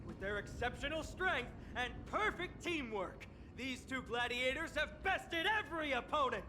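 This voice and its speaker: An actor playing a bombastic arena announcer who hypes up gladiator fights in a video game. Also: male voice, very high-pitched, expressive, normal speed, poor audio. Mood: hyped. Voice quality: showy, hyped-up. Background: game music.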